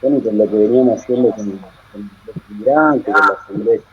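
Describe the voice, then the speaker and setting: A man from Chile in his thirties, a guest in a live interview, talking in halting, self-correcting phrases. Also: fairly low pitch, background noise. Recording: dull lo-fi audio.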